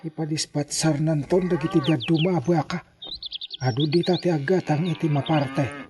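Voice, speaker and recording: A man's voice: fairly high pitch, moderate pace, crowd noise, close to an everyday voice. A man with a Filipino accent playing a contented, folksy old grandfatherly ranch hand in a radio drama. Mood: pleased.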